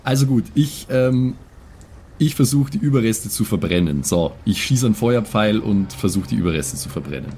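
A man playing a squeamish, skeptical adventurer in an improvised tabletop game, stammering and whining in a husky voice. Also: fairly low pitch, animated, moderate pace, dark tone, slight background noise. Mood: hesitant. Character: squeamish, whiny, skeptical adventurer who casts fire spells. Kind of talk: improvised tabletop role-play.

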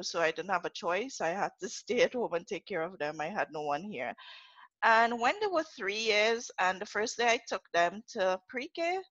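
A woman's voice: lowish voice, a bit bright, no room sound. A middle-aged Trinidadian woman tells her story as a podcast guest, her voice swooping up and down with chatty asides and easy laughter. The audio is dry and slightly muffled, with a lo-fi dullness. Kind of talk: casual podcast interview.

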